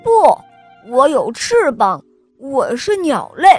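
A woman voicing a boastful, lazy male bat in a children's story, with loud, bragging, drawn-out exclamations. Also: sits high, big pitch swings, no echo, soft background music, full character voice.

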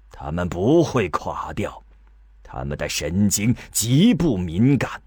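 An actor playing an old scholar in a radio drama, patiently explaining to a youngster in a measured, halting, lecturing manner. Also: male voice, a bass voice, husky, dry recording, clean studio sound, exaggerated voice.

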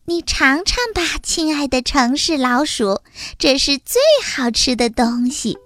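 A woman's voice: sits high, a little slow, fairly bright tone, no echo. A woman voicing a kind, hospitable country mouse in a children's story, exclamatory and welcoming.

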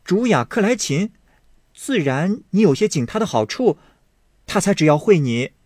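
A man voicing a lively, outspoken teenage girl in an audiobook reading of a classic novel, quick and teasing in a raised voice. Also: dry recording, clean studio sound. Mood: teasing, indignant. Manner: quick, teasing, pitched high.

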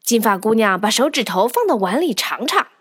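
A woman narrating a children's story as a scripted storyteller, with animated delivery. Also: on the high side, a little fast, slightly rough. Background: background music.

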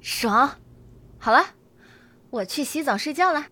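A woman's voice: high voice, animated, a little slow, pushed and bright, heavily acted. An actress playing a cheeky, carefree young woman, a playful sisterly colleague in an audio drama. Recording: noisy track with keyboard clicks.

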